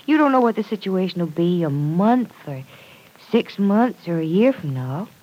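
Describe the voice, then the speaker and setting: An actress playing an earnest, stubborn young woman in an old-time radio serial, expressive and gently insistent. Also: lowish voice, normal speed, low-quality recording. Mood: firm, patient. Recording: vintage radio drama recording.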